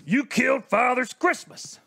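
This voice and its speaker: A man's voice: very high-pitched, measured pace, vocal fry, pushed and bright, heavily acted. A man voicing a brash male spaceship captain who drawls "y'all" in a live radio play. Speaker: host and performer of a charity stream.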